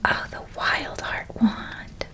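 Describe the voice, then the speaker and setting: A woman voicing a gentle, doting witch in a soft, breathy ASMR roleplay whisper, tender and affectionate. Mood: warmly excited. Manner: slow, tender, affectionate, lingering on words. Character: gentle, doting older witch woman helping with school shopping.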